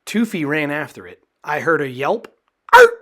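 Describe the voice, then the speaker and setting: A man reading a children's storybook aloud in an expressive, dramatic way. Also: a little high, moderate pace. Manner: expressive, dramatic delivery with acted character voices. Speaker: storybook reader.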